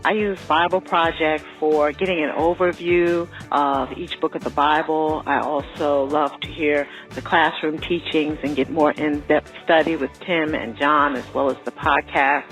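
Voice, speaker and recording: A woman's voice: deep voice, fairly even intonation, a little slow, hardly any pauses, soft background music, rough recording. A woman from Maryland giving a short read testimonial as a supporter, friendly and plain. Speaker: listener supporter in an ad.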